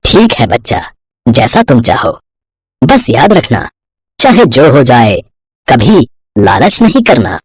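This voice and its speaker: A man's voice: mid-range voice, wildly swooping pitch, slow pace, long pauses, slightly rough, dry recording, heavily acted. Man acting a solemn, benevolent old holy man. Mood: gently warning. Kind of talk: Hindi animated moral story acting.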